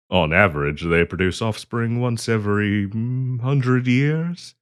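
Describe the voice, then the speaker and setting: A man voicing a courteous, earnest half-dragon diplomat in a roleplay audio, speaking politely and hesitantly to the listener. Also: fairly low pitch. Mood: grave, somber. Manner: hesitant, polite, halting with frequent ums and soft chuckles.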